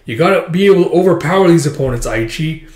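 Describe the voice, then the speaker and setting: A man's voice: fairly high pitch, expressive, fast, darkish tone, pitched above the performer's own voice, natural voice. A sneering manga yakuza dad, voiced by a man, protective and dangerous. His delivery is mock-casual and taunting, in an echoey room. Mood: menacing. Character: menacing yakuza father, sneering and protective of son.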